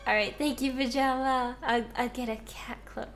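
A woman's voice: high voice, steady tone, moderate pace, fairly bright tone, faint music behind. A young woman streamer, a little sick and congested, chatting casually and reading out her chat. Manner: bubbly, chatty, reads chat aloud.

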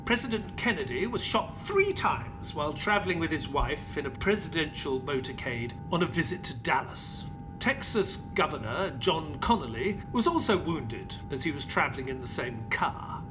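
A proper British gentleman doctor acted by a man tells his story in a formal, measured way. His delivery is dramatic and expressive, with lively pitch movement, over a music bed. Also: close to an everyday voice. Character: middle-aged English army veteran doctor, proper, reflective. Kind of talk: dramatic first-person scripted narration.

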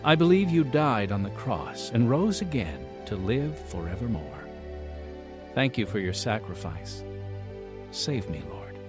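Expressive male radio announcer over music. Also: mid-range voice. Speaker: radio program host and announcer. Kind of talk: scripted evangelistic radio announcing.

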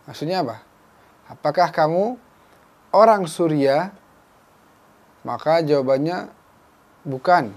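A young Indonesian man teaching Arabic on a studio programme, his voice sitting a little high for a man. He is patient and methodical, repeating each phrase slowly before he explains it. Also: a little mellow. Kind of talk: televised Arabic lesson for beginners. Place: small dark studio with whiteboard.

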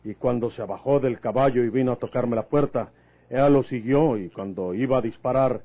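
An actor playing a plain-spoken, fatherly older country man with a rural Mexican accent in a vintage radio drama, telling things in a folksy, unhurried way. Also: medium pitch, warm tone, close to an everyday voice. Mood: indignant recounting. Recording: muffled phone-like old radio recording.

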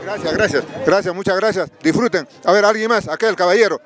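A high-pitched male interviewer works an outdoor gathering with a handheld mic. He is casual and teasing, and faint music plays behind him. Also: a little fast, a bit bright. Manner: jocular, teasing. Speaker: roving interviewer at a village fiesta. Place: outdoors at a village festival lunch. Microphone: handheld microphone. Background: music and outdoor sounds.

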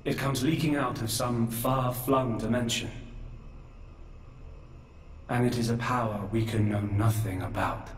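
An actor playing an ancient, world-weary godlike old man, solemn, measured and resigned in a video game cutscene. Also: mid-range voice, fairly even intonation, a little hiss or hum, natural voice. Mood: grave. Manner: grave, measured, philosophical. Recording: roomy echo, distant, music behind.

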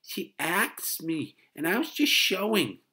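A man's voice: high voice, sing-song, slow pace, sharp tone, pitched above the performer's own voice, full character voice. A man voicing a stubborn, indignant five-year-old girl in a children's storybook, defiant and protesting.

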